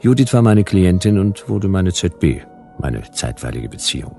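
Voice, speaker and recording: An actor playing a wry, world-weary private detective in a sci-fi radio drama, with laconic, deadpan narration and dialogue. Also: male voice, deep voice, moderate pace, soft background music.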